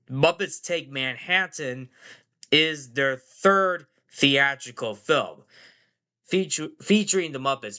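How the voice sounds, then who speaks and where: A man's voice: a little high, sharp tone. Nasal man with a cold, rambling. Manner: rambling, repeats phrases and fillers. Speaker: podcast host. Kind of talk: casual movie review podcast.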